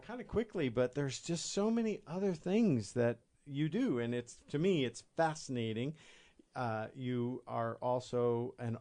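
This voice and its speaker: A man's voice: mid-range voice, darkish tone. A man with a Midwestern American accent hosting a radio business show, upbeat and encouraging toward his guest. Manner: upbeat, encouraging, frequent backchannels.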